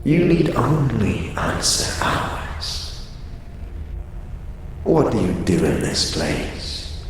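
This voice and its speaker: A man playing a menacing hive mind of telepathic rats in an audio drama, slow, imperious and accusing in a gravelly, whispery growl with cavernous reverb. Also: medium pitch, very animated, distant mic, a little hiss or hum. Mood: commanding, suspicious. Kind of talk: fantasy audio drama acting. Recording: long echoing reverb, music underneath.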